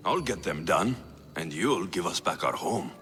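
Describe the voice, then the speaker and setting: A man's voice: low voice, animated, moderate pace, a bit bright, a little hiss or hum. A man acting a bold, confident young Spartan mercenary in a video game, blunt and wry. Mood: cocky, wry.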